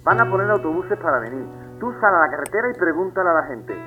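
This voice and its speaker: A man's voice: fairly high pitch, lively intonation, quick pace, narrow, tinny audio, faint music behind, lightly acted. An actor playing an apologetic, evasive older father, hesitant and anxious, in an audio drama telephone scene. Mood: defensive pleading. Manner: hesitant, fretful.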